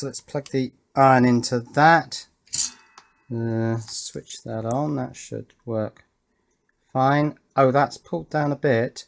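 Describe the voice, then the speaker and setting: Man, fifties, English accent, mid-range voice, casual hobbyist commentary, hesitant, self-questioning, thinking aloud. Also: medium pitch. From England. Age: 50s. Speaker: electronics hobbyist and video maker. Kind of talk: casual rambling commentary while working.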